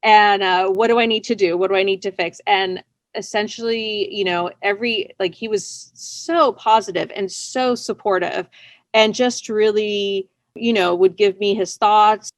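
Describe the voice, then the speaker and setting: A woman's voice: mid-range voice, steady tone, measured pace, no echo. Chatty woman in a casual interview, dry sound. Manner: chatty, self-deprecating, often laughing lightly as she talks. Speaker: interview guest, writer.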